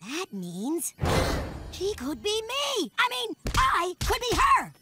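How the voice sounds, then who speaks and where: A woman voicing a brash, excitable, slangy teenage girl shapeshifter in a cartoon. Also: extremely high pitch, slow pace, very noisy, exaggerated voice. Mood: worked up. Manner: slangy, ungrammatical, emphatic. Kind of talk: animated cartoon voice acting. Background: music.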